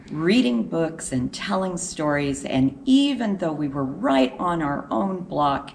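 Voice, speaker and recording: A woman reading a children's picture book aloud in a measured, expressive storytelling manner with deliberate pauses. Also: low voice, creaky, slight background noise.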